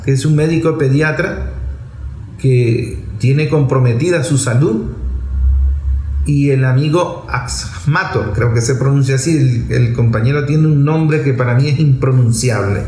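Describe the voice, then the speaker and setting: A man's voice: medium pitch, darkish tone. A man with a Venezuelan accent giving an unscripted political monologue, speaking emphatically in short, repeated phrases. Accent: Venezuelan Spanish. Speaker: political commentator and activist. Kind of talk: political monologue, spoken off the cuff. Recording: echoey room with faint background noise.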